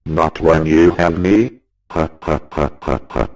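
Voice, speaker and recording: A man's voice: rumbling low, steady tone, measured pace, vocal fry, a little mellow, heavily acted. A man voicing a sinister, glitchy animatronic endoskeleton for a video game, with a mechanical manner. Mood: cold, menacing. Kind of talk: video game character voice lines.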